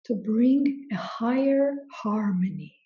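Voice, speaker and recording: A woman spiritual teacher leading a guided meditation in slow, deliberate phrases separated by long pauses. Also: on the high side, lively intonation, muffled recording.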